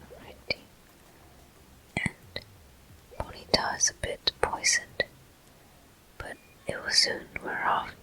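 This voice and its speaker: A woman hosting a gameplay video in ASMR style, murmuring softly and gently as she comments. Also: rough recording. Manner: soft, gentle, unhurried murmuring. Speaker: gameplay host.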